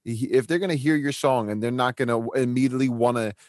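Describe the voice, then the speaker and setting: A man's voice: mid-range voice, fast, a little mellow, no echo, studio quality. A man co-hosting a music podcast, chatting casually and enthusiastically with plenty of fillers. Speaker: podcast co-host, songwriter and producer. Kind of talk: casual chat.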